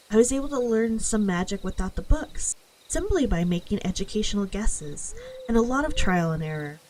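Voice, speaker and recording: A woman's voice: mid-range voice, a little mellow, slight background noise, natural voice, low-quality recording. A woman playing a friendly, confident witch who chats reassuringly to the listener in an ASMR roleplay. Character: friendly, confident female forest witch, eager mentor.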